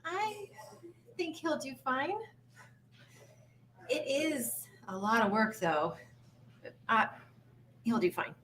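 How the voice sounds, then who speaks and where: A woman's voice: high voice, big pitch swings, takes it slowly, pauses often, fairly bright tone, slight background noise, exaggerated voice. A woman playing a friendly, slightly unsure small-town woman in a sitcom table read, in a plain, understated way. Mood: hesitant, wistful.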